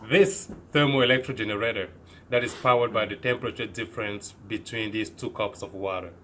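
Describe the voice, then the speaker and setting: A man's voice: lowish voice, brisk, a bit bright, a little hiss or hum. Earnest young Cameroonian man presenting. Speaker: student presenter. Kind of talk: scripted science presentation.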